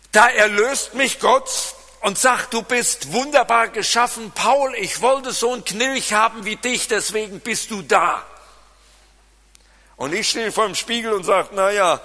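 A man with a Siegerland German accent preaching a sermon, swinging from loud emphasis to quiet asides. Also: sits high. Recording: long reverb of a large hall.

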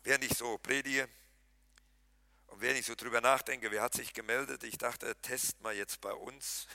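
A pastor preaching a lively sermon, talking straight to his congregation and mixing in jokes. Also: mid-range voice, normal speed, no room sound. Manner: animated, talks to the congregation directly, jokes and laughs.

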